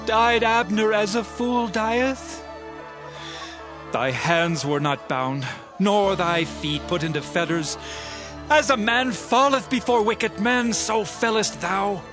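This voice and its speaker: A man's voice: high voice, slow. A man voicing King David in a dramatized scripture recording, lamenting in a solemn, anguished way, sometimes half-singing. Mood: mournful. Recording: music bed under voice.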